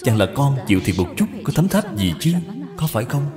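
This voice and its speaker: A man voicing a gentle, dutiful young wife from old China in a cartoon, speaking with deference and patience. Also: mid-range voice, very animated, a little fast, close to an everyday voice. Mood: gently persuading. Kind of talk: cartoon dubbing. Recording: long reverb, music behind.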